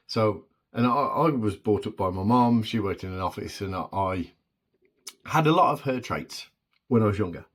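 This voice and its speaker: Deep-voiced man musing hesitantly. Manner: hesitant, halting, many fillers and restarts. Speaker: vlogger. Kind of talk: solo reflective monologue.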